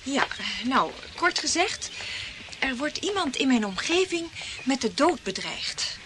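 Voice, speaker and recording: An actress playing a flirtatious, well-spoken woman client in a detective radio play, coy and flattering. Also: high-pitched, slow, noisy background, full character voice. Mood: confiding.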